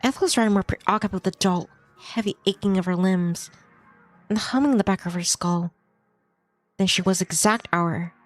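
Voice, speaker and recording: A woman's voice: medium pitch, normal speed. A woman streamer reading a visual novel aloud as story narration, now and then stumbling over a word and rereading it. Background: music behind the voice.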